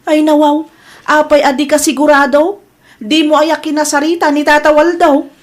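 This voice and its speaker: A woman's voice: high-pitched, little pitch movement, normal speed, close to an everyday voice. A woman with an Ilocano accent playing an evasive married woman hosting a family feast in a radio drama. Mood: brisk, impatient. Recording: busy crowd sound behind voice.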